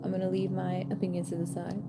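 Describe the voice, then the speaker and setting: A woman's voice: lowish voice, monotone, fast. A woman giving a chatty intuitive reading, breaking into laughing asides and stopping herself mid-thought. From American. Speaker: tarot reader. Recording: loud background music.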